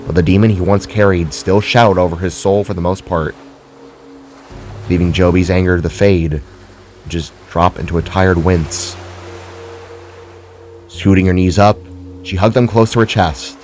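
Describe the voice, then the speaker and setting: A man with a low voice serves as narrator of a dramatic story. The reading is scripted prose, with music in the background. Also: steady tone, moderate pace, pauses often, a little mellow.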